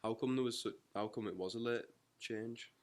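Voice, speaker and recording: A man's voice: medium pitch, normal speed. A young man with a North East English accent, interviewing in a casual, slangy chat. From North East England.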